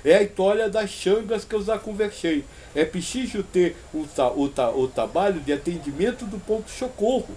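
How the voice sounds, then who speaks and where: A man with a Brazilian accent speaks in a very high register with swooping, lively intonation. He teaches a group informally, repeating phrases for emphasis and posing rhetorical questions. There is slight noise on the live recording. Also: normal speed, hardly any pauses. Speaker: spiritual teacher leading a group discussion. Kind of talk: informal spiritual talk answering questions.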